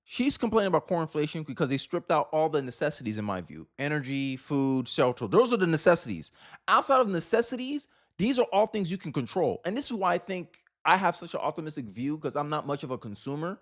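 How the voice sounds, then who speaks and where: A man's voice: on the high side, big pitch swings, fast. A man hosting a commentary show, talking casually and with opinions, repeating and rephrasing his points for emphasis. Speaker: host of a political commentary show.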